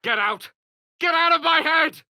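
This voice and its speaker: An actor voicing a wry, bookish human wizard in a video game, speaking with a British accent in an articulate, theatrical and wordy manner. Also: male voice, very high voice, expressive, a little slow, creaky, bright, forceful tone, no echo, raised voice, studio quality, heavily acted. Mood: anguished.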